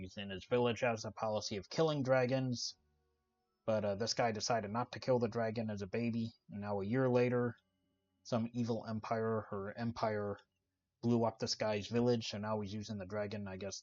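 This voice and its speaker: A soft-spoken man giving casual, relaxed commentary while playing a video game, with lots of "uh" fillers. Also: on the low side, moderate pace, highs cut off, faint music behind. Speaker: gaming channel host. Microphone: desk microphone.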